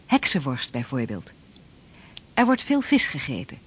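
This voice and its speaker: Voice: mid-range voice, huge pitch swings, unhurried, slightly rough, heavily acted. A narrator giving matter-of-fact scripted historical narration within a radio play. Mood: informative.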